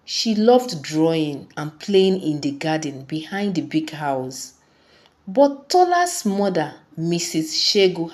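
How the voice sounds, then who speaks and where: A woman narrates a scripted folktale in a slightly low voice. Her delivery is dramatic and very unhurried, with pitch that rises and falls widely from phrase to phrase. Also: darkish tone. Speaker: storyteller.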